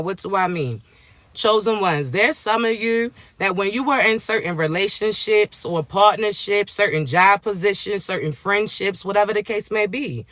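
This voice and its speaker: A man with a raspy, allergy-congested voice giving a live spiritual reading, addressing listeners directly and checking in with "all right?" often. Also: high voice, pushed and bright, no echo. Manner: emphatic, repeats "all right?" and "right?" tags. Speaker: spiritual reader.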